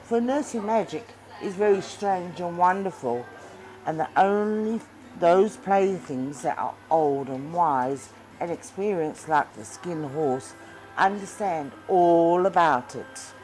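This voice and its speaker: Female storybook narrator: unhurried, expressive, slightly low pitch, faint music underneath. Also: poor audio. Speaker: storybook reader.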